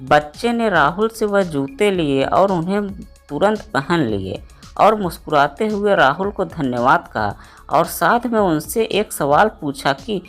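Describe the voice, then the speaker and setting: Woman storyteller, low-pitched voice, scripted moral-story narration, faint music behind. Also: lively intonation, a little slow.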